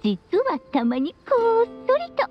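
A doting anime-game witch mother with a high voice. She tells stories tenderly and slips in playful teasing. The sound is slightly muffled, with music underneath. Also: wildly swooping pitch, unhurried, full character voice. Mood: playful, breezy. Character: playful, loving, long-lived witch mother addressing her child. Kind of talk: Japanese anime game voice acting. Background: background music.

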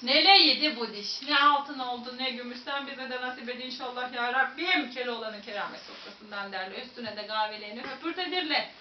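Lively woman folk-tale teller, Central Anatolian accent; distant mic, faint noise. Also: a little high, a little fast, few pauses. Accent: Central Anatolian Turkish. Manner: lively, dramatic, addresses listeners directly. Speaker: storyteller. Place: museum room. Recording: echoey room, distant, dull, faint noise.

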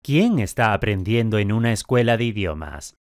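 A man with a lowish voice asks simple drill questions and states short sentences, each word clearly articulated. He is recorded close and dry with no room sound. His delivery stays even and scripted throughout. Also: normal speed, no room sound. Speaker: language course speaker.

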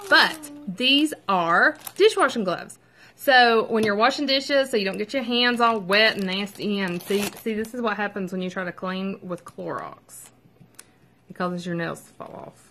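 A woman's voice: mid-range voice, vocal fry, sharp tone, slight background noise. A woman casually reviewing a product at home, chatty and upbeat, rambling with fillers and asides. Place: at home with her child nearby.

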